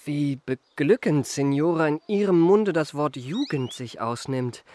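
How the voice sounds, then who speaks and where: An actor playing a suave young marquis in a radio drama, speaking in a florid, courtly, teasing way. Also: male voice, fairly high pitch, animated, unhurried, darkish tone. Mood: flattering. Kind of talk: German radio drama acting.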